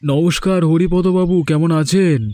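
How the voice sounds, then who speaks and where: A man's voice: on the high side, fairly even intonation, measured pace, mellow, no room sound, voice pushed up, natural voice. A man voicing a poor, humble middle-aged farmer in a cartoon, speaking deferentially and hesitantly. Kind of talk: Bengali cartoon dubbing.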